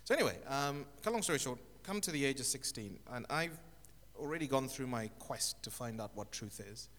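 A middle-aged man from Zimbabwe, a guest telling his life story in an interview, with thoughtful, halting speech and many restarts. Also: medium pitch, animated, fairly bright tone. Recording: echoey hall with faint noise.

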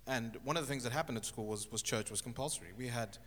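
A middle-aged man from Zimbabwe, a guest telling his life story in an interview, with thoughtful, halting speech and many restarts. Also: mid-range voice, a bit bright. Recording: echoey hall with faint noise.

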